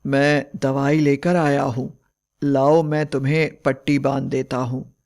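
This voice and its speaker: A man playing a kindly, fatherly older village healer in a storybook tale, with a creaky voice. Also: medium pitch, level tone, slow pace, a little mellow. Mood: kindly, concerned. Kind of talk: Hindi fairy tale dramatized narration.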